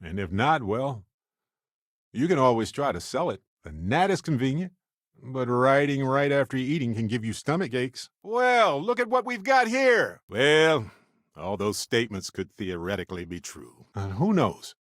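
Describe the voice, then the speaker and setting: A man acting as a good-hearted, wisecracking space explorer in a video game. His voice swings freely up and down, chatty and wry. It sits at a medium male pitch and is recorded dry and close, with very little room. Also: moderate pace, no room sound, natural voice. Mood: playful, wry, then animated, excited. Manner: wry, chatty, playfully expressive. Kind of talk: video game character dialogue.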